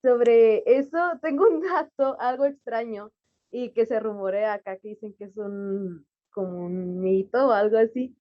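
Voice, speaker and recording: A woman's voice: a little high, measured pace, no echo, highs cut off. Chatty Mexican woman on a video call. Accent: Mexican Spanish. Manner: hesitant, chatty, grateful. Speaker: online event attendee. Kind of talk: casual comment in a video call.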